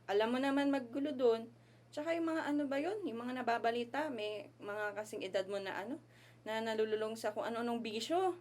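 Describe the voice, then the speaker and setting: Woman playing a fretful mother, higher voice. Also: brisk, darkish tone, natural voice. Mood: fretful, loving. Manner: fretful, nagging affection. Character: sulky, worrying, loving provincial mother. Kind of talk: amateur radio drama acting.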